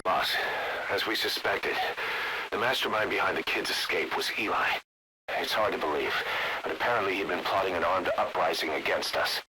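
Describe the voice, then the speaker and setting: An actor playing a gruff, businesslike military second-in-command giving scripted mission briefings to his superior in a game. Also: low voice, moderate pace, natural voice. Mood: urgent, businesslike. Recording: loud helicopter-like vehicle noise.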